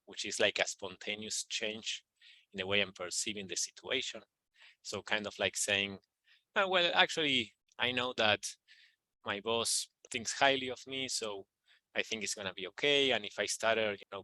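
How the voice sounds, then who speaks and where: A man teaching a tapping technique in a calm, repetitive guided manner, with fillers as he explains. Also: fairly low pitch, normal speed, rough recording. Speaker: EFT practitioner teaching tapping. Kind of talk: instructional video walkthrough.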